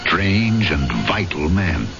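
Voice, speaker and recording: Deep, dramatic gentleman storyteller. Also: big pitch swings, heavily acted. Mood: reflective, ominous. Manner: formal, dramatic storytelling with measured phrasing. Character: courteous island gentleman named Henri, reflective and loyal. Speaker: actor narrating and playing a lead part. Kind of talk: old-time radio drama acting and narration. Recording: dull old radio recording, music behind.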